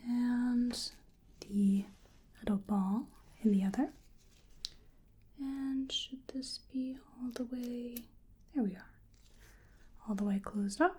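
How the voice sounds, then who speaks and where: Woman doing a bookish creature researcher for asmr roleplay, super slow n gentle, dark soft whispery voice. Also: a little high, a little hiss or hum. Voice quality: breathy, largely whispered. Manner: gentle, polite, unhurried, musing to herself. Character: bookish, polite female cryptid researcher examining a creature. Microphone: close microphone.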